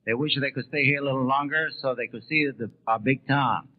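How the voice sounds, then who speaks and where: A man's voice: mid-range voice, brisk. A man answering as a guest in a 1930s radio interview, plain-spoken and brief. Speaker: barber being interviewed. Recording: tinny 1930s radio broadcast.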